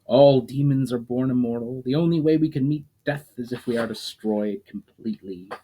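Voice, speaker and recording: A man's voice: medium pitch, normal speed, dark tone, breathy edge, voice pushed up, close to an everyday voice, rough recording. A man reading a story aloud, voicing an ancient, scornful immortal demon who lectures coldly and derisively.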